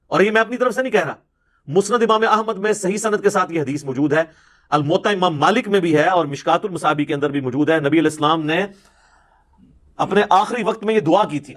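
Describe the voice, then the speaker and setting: A man's voice: sits high, fairly bright tone. A man with a Pakistani Punjabi accent giving an informal religious lecture in an emphatic, argumentative, rhetorical way.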